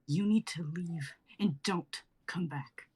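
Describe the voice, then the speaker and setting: A woman voicing a self-absorbed young female singer who swears a lot and swings from shouting to whispering, in an amateur dramatic reading. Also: fairly low pitch, slow, slightly rough. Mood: angry. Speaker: actor in a live script reading.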